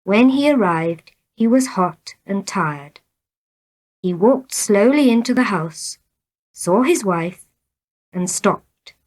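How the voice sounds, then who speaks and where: A woman narrates short, simple stories from a script. She reads very slowly and deliberately, with a middle-pitched voice. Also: expressive, darkish tone, poor audio. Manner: measured, deliberate reading. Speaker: storybook reader.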